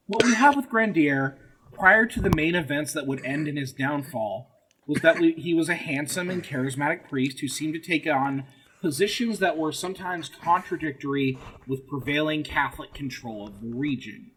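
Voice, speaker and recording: A man's voice: on the high side, normal speed, a bit bright, a little hiss or hum, low-quality recording. A man hosting a casual comedy history podcast, explaining things in a wry, sweary way. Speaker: podcast host leading the episode.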